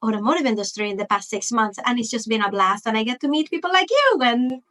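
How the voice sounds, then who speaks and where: A woman in her forties with a Venezuelan Spanish accent talks as an interview guest. Her voice sits a little high, and she is lively, restarting phrases and jumping her pitch way up for quoted asides. Spanish is her first language. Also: fast. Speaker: guest, marketing expert. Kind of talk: casual interview chat.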